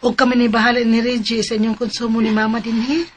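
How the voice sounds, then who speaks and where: A woman's voice: fairly high pitch, level tone, quick pace, husky. A woman with a Cebuano accent playing an elderly widowed mother in a radio drama, fretful and scolding. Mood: worried. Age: older.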